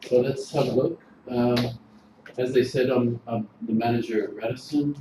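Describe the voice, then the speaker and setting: Man from Greece, 40s, casual hotel-trainer delivery, fillers; boomy room, background noise. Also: fairly even intonation, moderate pace. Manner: chatty, informal, filler-heavy. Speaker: hotel general manager giving a workshop. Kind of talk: relaxed presentation to a class. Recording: roomy, noisy room recording.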